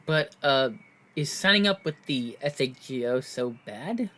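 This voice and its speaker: A man's voice: medium pitch, lively intonation, unhurried, fairly bright tone. An actor playing an earnest, slightly awkward young male superhero in an audio drama, hesitant and sincere, sometimes stammering. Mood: hesitant, concerned.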